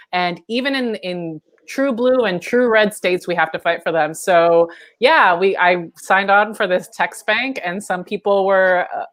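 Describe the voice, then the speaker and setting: A woman's voice: medium pitch, fairly bright tone. Chatty informal woman hosting a livestream. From from Honduras, raised in Florida. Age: 30s. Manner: chatty, informal, laughs often. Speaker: livestream host and political organizer. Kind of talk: casual livestream chat.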